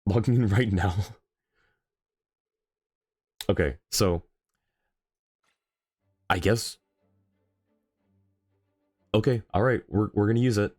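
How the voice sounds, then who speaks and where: A man's voice: on the low side, takes it slowly, long pauses, a little mellow, no echo, clean studio sound, soft background music. A man livestreaming a game in a casual, chatty way, thinking aloud and talking to his chat.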